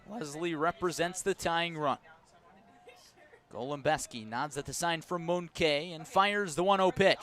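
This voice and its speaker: A man's voice: a little high, fairly bright tone. A man doing live baseball play-by-play on the radio in clipped, descriptive phrases that build with the action, outdoors at a ballpark. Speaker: play-by-play sports announcer.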